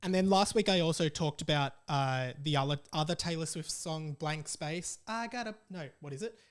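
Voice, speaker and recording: A man's voice: on the high side, normal speed. A man hosting a solo podcast in a casual, jokey way, repeating phrases for emphasis. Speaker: podcast host.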